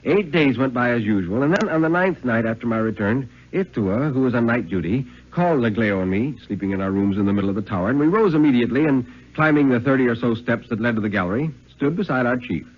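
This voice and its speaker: A man giving a theatrical, suspenseful dramatic reading of a first-person thriller in 1950s radio style, with deliberate phrasing. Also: medium pitch, animated. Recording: dull vintage 1950s radio recording.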